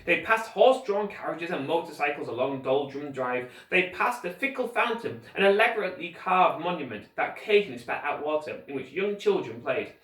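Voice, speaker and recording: A man reading a children's story aloud like a teacher, with expressive, deliberate scripted narration. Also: a little high. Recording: roomy echo, distant microphone.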